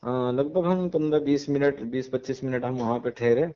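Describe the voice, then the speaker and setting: A man speaking as an imam over a phone call. The audio is muffled and lo-fi. He talks in a measured, preachy way and slows down whenever he makes a moral point. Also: medium pitch, fairly even intonation, mellow.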